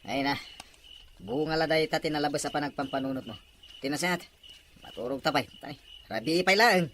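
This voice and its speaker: A man's voice: on the high side, fairly quick, close to an everyday voice. A man playing a sleepy husband in a radio drama, grumbling and brushing off worries. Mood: sleepy dismissal. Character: sleepy, dismissive husband soothing his worried wife.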